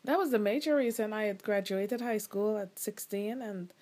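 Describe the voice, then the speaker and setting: A woman's voice: mid-range voice, normal speed, darkish tone. A middle-aged woman from Guyana, interviewed by her child, answering thoughtfully with many ums and restarts. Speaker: interviewee, the host's mother. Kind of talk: casual recorded interview.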